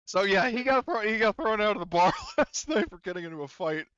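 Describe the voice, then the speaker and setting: A man's voice: high-pitched, animated, fairly quick, fairly bright tone, smooth, dry recording, highs cut off. A man narrating a tabletop game as game master in an informal, sweary, amused way.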